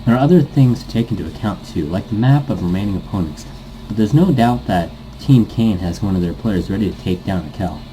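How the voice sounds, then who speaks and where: A man's voice: fairly low pitch, animated, fairly quick, dark tone, soft background music, poor audio. A man doing a casual gaming playthrough, reading game text aloud and rambling off on tangents. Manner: rambling, easily sidetracked. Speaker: let's player reading a visual novel aloud.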